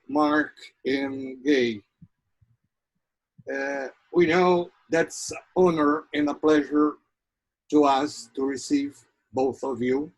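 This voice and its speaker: A man from Ceará, Brazil, with a Brazilian accent, hosting an online talk show and giving a formal welcome. He talks haltingly, searching for words, with many pauses. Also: fairly high pitch, darkish tone, husky, poor audio. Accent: Brazilian Portuguese, from Ceará. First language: Portuguese. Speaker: host.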